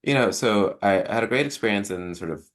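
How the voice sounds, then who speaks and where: A man in his thirties from Jamaica, a professor answering interview questions thoughtfully, with frequent chuckles and pauses. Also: fairly low pitch, lively intonation. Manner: thoughtful, chuckles often, many ums. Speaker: professor guest.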